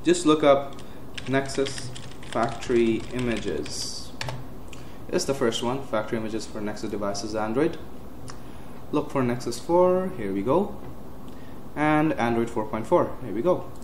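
A young man giving a casual step-by-step computer tutorial, with frequent uhs and quick self-corrections. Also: medium pitch, expressive. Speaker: tech tutorial host. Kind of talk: screen-recorded software walkthrough. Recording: roomy with faint hiss.

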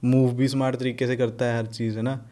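A young man from Punjab talking casually on a podcast, blunt and animated, swearing freely and mixing in English. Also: mid-range voice, fairly quick. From Punjab, India. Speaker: podcast guest, rapper.

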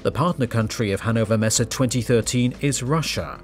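A man reading scripted narration as a voice-over for a trade show report, in a measured, even delivery. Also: mid-range voice, loud music behind the voice. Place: voice-over booth.

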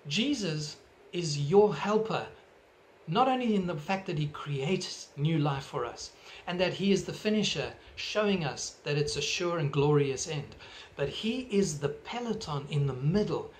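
A middle-aged South African pastor delivers an earnest home sermon, his voice sitting a little high for a man. He phrases things in measured, emphatic steps. A faint hum of room ambience sits under the webcam sound. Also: animated. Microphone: webcam at desk distance.

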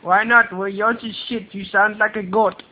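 Guy doing a high squeaky foreign carpet seller, broken english, keeps going no no no, rude hard sell, tinny phone. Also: steady tone. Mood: pushy. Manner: insistent, rude, fast-talking hard sell. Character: pushy foreign male street trader, brash.

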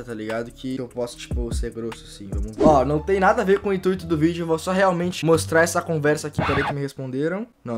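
A young man in his late teens with a Brazilian Portuguese accent, chatting casually and joking to camera with lots of slang from a small bedroom on a desk mic. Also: a little high. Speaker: YouTuber. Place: small bedroom with LED lights. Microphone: desk microphone.

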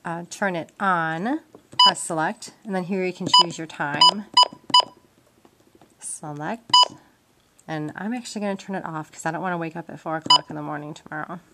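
A woman's voice: fairly low pitch, moderate pace. Woman giving a how-to, noisy recording. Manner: practical, explanatory, conversational. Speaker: instructor demonstrating a gadget. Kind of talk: informal step-by-step how-to demonstration. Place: indoors at a wooden table. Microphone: handheld camera mic, off screen. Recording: noisy amateur camcorder sound with clicks and beeps.

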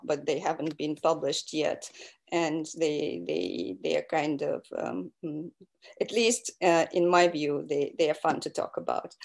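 A woman giving an academic lecture over a video call, pausing often with fillers. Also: lowish voice. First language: Russian. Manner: hesitant, many fillers.

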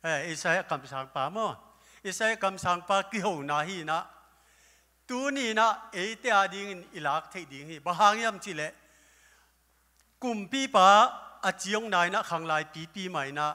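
An older man preaching a sermon at a lectern with a handheld microphone, breaking into loud exclamations now and then. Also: sits high. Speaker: pastor. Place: church hall stage. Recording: live church hall with long reverb.

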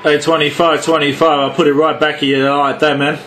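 A man's voice: a little high, level tone, brisk. A man working as a CB radio operator, giving showy, repetitive patter full of call signs and slang. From Australia. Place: indoors at a radio set. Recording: clearly noisy room beside a radio. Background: radio noise.

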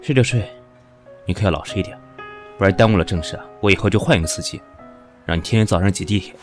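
A man acting in an audio drama as a cool, sharp-tongued professional who is weary and dismissive of his pushy lover. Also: low-pitched, fast talker, faint music behind, close to an everyday voice. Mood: dry, teasing.

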